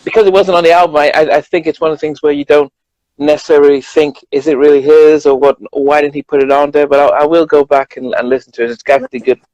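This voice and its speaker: A man's voice: on the high side, little pitch movement, fast talker, darkish tone, no echo, lo-fi sound. A man with a British accent chatting casually as a guest, hesitant and repeating words as he thinks. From United Kingdom. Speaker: guest, journalist. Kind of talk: casual chat.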